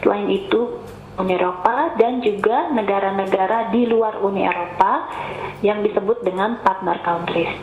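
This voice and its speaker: A woman's voice: medium pitch, normal speed, a little hiss or hum. A woman with a cough giving a patient, explanatory webinar presentation over a remote call. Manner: patient, explanatory, addresses audience politely. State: has a cough. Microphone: remote call mic. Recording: tinny, echoey online call audio.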